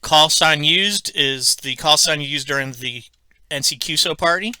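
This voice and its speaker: A man with a very bright, forceful voice walks through software steps as an instructor. His delivery is halting and methodical, and the sound is crisp and dry, recorded close indoors at a computer. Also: fairly high pitch, dry recording. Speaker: tutorial instructor. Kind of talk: step-by-step software tutorial, unscripted explanation.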